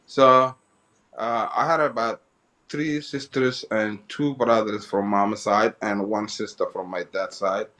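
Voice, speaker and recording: Halting man. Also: lowish voice, steady tone, a bit bright. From Somalia. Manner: halting, repeats and restarts phrases. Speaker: guest. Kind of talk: interview, telling his life story.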